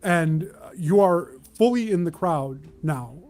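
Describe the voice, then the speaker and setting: A man acting as game master, improvising tabletop narration in a measured, descriptive way with mid-sentence pauses. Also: on the high side, expressive, a little mellow.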